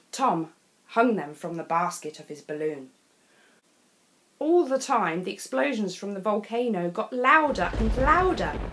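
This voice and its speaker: A woman reading a children's storybook in an expressive, deliberate way with dramatic phrasing. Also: medium pitch, low-quality recording. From England.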